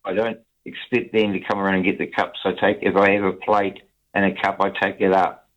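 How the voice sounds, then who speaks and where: A man's voice: low-pitched, level tone, a little fast, fairly bright tone. An older man calling a radio show, earnest and eager to please, repeating himself. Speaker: caller. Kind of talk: casual phone-in chat. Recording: dull phone line.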